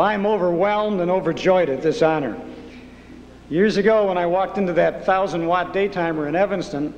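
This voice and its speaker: A man's voice: fairly high pitch, darkish tone, lo-fi sound. Humble man speaking in an echoey hall. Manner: humble, earnest. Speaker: inductee giving acceptance speech. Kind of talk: formal acceptance speech. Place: ceremony hall stage. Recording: echoey hall, faint noise.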